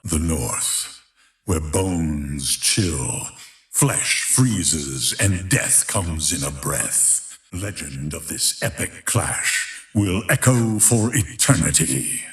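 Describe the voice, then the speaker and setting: A man playing a grandiose, menacing tournament announcer in a video game, narrating in a theatrical, emphatic, drawn-out style. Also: a bass voice, lively intonation, fairly bright tone, echoey room, full character voice. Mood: ominous, epic. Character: grandiose, menacing male fighting-tournament announcer of epic legend. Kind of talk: dramatic scripted fighting-game narration.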